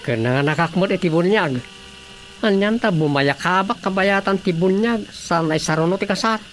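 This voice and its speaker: A man's voice: high-pitched, moderate pace, a bit bright, slight background noise. Grumbling village councilman. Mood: matter-of-fact. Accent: Ilocano. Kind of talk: radio drama comedy acting.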